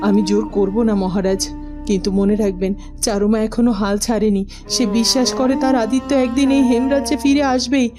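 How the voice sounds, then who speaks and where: A woman's voice: a little high, steady tone, normal speed, over music, close to an everyday voice. A woman playing a firm, loyal lady earnestly and respectfully counselling a king in a cartoon. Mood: urging, earnest.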